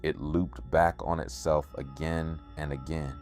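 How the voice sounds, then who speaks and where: A man narrating a scripted first-person horror story in a measured, ominous way, with music behind him. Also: extremely low pitch, fairly even intonation, darkish tone. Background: music bed.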